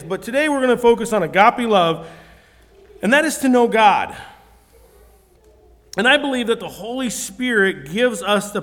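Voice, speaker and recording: Guy preaching a sermon, pretty high voice, talks earnest and casual, restarts a lot, long hall echo. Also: a bit bright. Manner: earnest, conversational, with repeated restarts.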